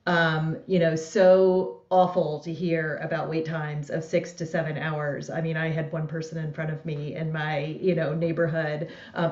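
Low, flat-voiced woman professor. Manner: thoughtful, measured, hesitant fillers. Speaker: professor, panelist. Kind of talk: panel discussion over video call. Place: indoor room on video call. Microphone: earphone mic. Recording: echoey, dull video call audio.

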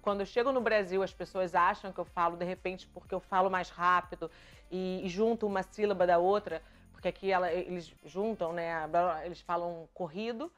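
A Brazilian woman in her thirties with a Carioca accent mixed with European Portuguese touches, chatting casually to the camera from her sofa as a vlogger, lively and gesturing as she talks. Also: fairly low pitch, brisk, soft background music. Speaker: vlogger answering viewer questions. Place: bright living room, seated on a sofa.

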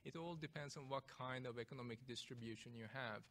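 A man's voice: mid-range voice, a little fast, fairly bright tone. A man from Sweden with a Swedish accent, speaking as a panelist in a discussion and making his case analytically from a table microphone.

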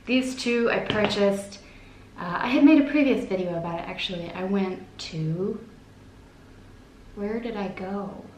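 A woman's voice: mid-range voice, measured pace, darkish tone, slight background noise. A woman in her twenties hosting a casual plant how-to vlog, chatty and playful with self-mocking asides, seated at a table in a plant-filled living room. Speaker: plant vlogger, host. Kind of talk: casual chat with how-to tips. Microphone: camera at a distance, seated at a table. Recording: roomy echo.

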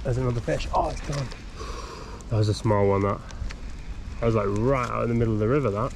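A young man talks to his camera in a chatty, upbeat way. His voice has a gravelly edge, and a faint hiss of wind and outdoors sits behind him on the action-cam recording. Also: medium pitch, fast. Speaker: fishing vlogger. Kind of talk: casual outdoor commentary. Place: windy riverbank outdoors. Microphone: action camera on body or tripod.

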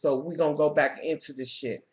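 A young woman with a raspy voice from a cold, ranting to viewers in blunt, swearing storytelling from a small room at home. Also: sits low, steady tone, fairly quick. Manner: loud, blunt and swearing, talking to viewers directly. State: coming down with a cold. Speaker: vlogger. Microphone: webcam in front of the face. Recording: roomy, muffled webcam audio.

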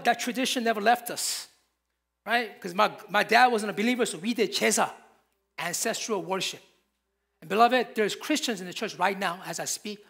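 A man's voice: high-pitched, vocal fry, a bit bright, breathy edge. A man, preaching a sermon from a church pulpit with emphatic, repeated phrases. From Korea. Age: 50s. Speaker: pastor.

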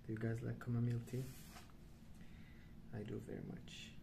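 A man speaking softly and hushed in an unhurried, halting ASMR ramble, often trailing off. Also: medium pitch, level tone. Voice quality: soft, hushed. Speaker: ASMR video host. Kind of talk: soft-spoken ASMR rambling. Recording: clear background noise.

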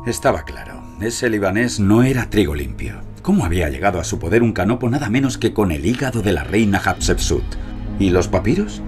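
An actor as a low-voiced, shrewd undercover archaeologist. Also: male voice, expressive, a little fast, close to an everyday voice. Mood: brooding, calculating. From Germany. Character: shrewd, haggling German archaeologist undercover as beer exporter. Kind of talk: scripted audio drama dialogue and narration. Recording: music behind the voice.

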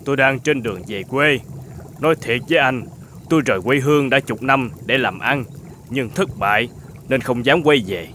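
Man playing earnest young traveller, medium pitch. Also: normal speed, a bit bright, a little hiss or hum, natural voice. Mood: earnest, wistful. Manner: polite, earnest. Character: grateful, earnest young traveller, poor and homesick. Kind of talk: animated folk-tale dubbing.

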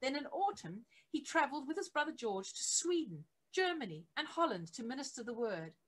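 A middle-aged woman reading a biography aloud as scripted narration, measured and with emphasis on key phrases. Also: high voice, big pitch swings. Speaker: reader of a church history book. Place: attic home office, indoors.

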